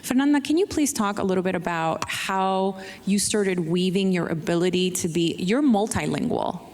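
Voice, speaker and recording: A woman's voice: fairly low pitch, normal speed, a little mellow. A woman moderating a panel before an audience, explaining things and addressing the listeners directly. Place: large hall with an audience. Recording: echoey hall with long reverb.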